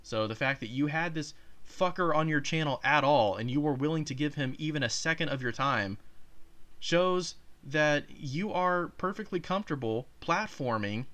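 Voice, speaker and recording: A man's voice: a little high, expressive, normal speed, a bit bright. A man hosting his own channel, giving heated, sarcastic commentary on a video he is reacting to, swearing freely. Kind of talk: commentary over a played clip.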